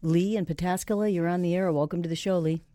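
A woman hosting a call-in radio show, brisk as she welcomes callers and reads out contact details. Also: fairly low pitch, fairly even intonation, mellow, slight background noise. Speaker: radio talk show host.

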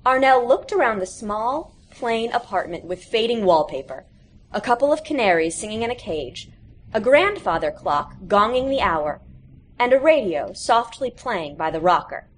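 A woman reading a short story aloud in an expressive, scripted narrating style. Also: a little high, moderate pace, slight background noise. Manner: animated, acts out quoted characters.

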